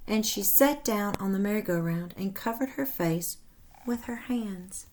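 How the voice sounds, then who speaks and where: A woman teacher reading a storybook aloud to young children in a deliberate, instructive way with animated emphasis. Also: medium pitch, a little hiss or hum. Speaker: first grade teacher.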